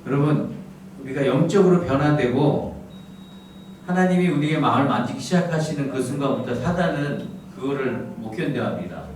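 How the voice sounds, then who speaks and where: A middle-aged man from the Gyeongsang region who speaks with a standard Seoul-area accent, preaching in a soft, humorous storytelling manner. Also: medium pitch, expressive, normal speed, recorded from a distance. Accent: standard Seoul-area Korean. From Gyeongsang region, South Korea. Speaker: pastor. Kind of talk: lecture-style sermon. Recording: echoey hall miked from afar, background noise.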